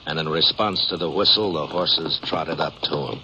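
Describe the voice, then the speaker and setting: A man's voice: extremely low pitch, normal speed, very noisy. An American man narrating a 1950s radio Western in a scripted, dramatic storytelling style. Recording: dull 1950s radio recording.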